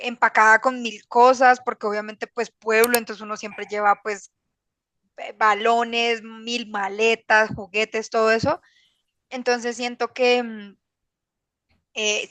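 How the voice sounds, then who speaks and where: High-voiced Colombian woman chatting candidly. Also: a bit bright. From Bogotá, Colombia. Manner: candid, slangy, rambling. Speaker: podcast guest. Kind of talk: casual personal conversation.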